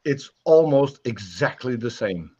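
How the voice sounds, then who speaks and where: Guy talking real slow, lots of uhs, muffled lo-fi stream sound. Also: mid-range voice, animated, warm tone, husky. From Netherlands. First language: Dutch. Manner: hesitant, many uhs and repeated starts. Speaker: guest in a live chat. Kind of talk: casual live-stream chat. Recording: dull lo-fi stream audio.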